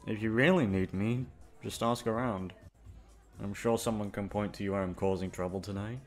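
A man plays a young playboy in an ASMR roleplay. His voice sits low with a lot of gravelly fry, and his informal talk swings between cocky swagger and shy, halting fluster. Faint music plays behind him. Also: fairly even intonation, natural voice. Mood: cocky, playful. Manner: informal, halting, tongue-tied around crush. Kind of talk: ASMR roleplay audio drama.

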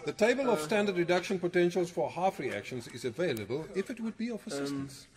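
An actor playing a stern, pompous chemistry teacher in an audio drama, with a formal, lecturing and sarcastic way of talking. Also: male voice, high voice, very animated, quick pace. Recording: noisy amateur audio drama recording.

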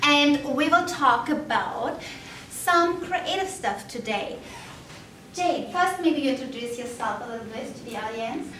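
A young woman with a slightly high voice hosts an interview, leading the conversation with friendly, encouraging questions. She speaks from a bare studio room that echoes. A lapel mic catches her at some distance, with faint noise behind. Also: animated, measured pace, pushed and bright. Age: young adult. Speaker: host. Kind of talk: hosting an interview.

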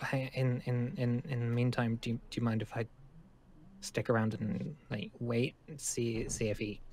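A man playing a nervous, friendly young survivor in an improvised game roleplay, speaking in halting, rambling stammers. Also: medium pitch, fairly even intonation, darkish tone, a little hiss or hum, close to an everyday voice. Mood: nervous, hesitant. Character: nervous, friendly young male zombie-apocalypse survivor living at a school.

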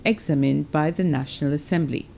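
A woman with a Seychellois accent reading the evening news in a steady, formal way. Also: low-pitched, a little fast, gravelly. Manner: steady formal delivery with a few fillers. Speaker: news anchor. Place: TV studio.

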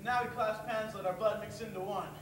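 A man's voice: sits high, steady tone, a little fast, close to an everyday voice. A young man playing an eager, cocky teenage orphan boy in a stage play. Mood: excited. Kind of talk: stage acting. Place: theatre stage, far camera. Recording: distant echoey theatre stage, noisy.

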